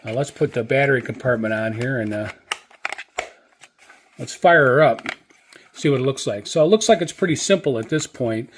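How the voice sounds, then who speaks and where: Man, mid-pitched, casual product reviewer, halting and repetitive phrasing, slight background noise. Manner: halting, hesitant, repeats phrases. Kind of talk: casual unscripted radio review.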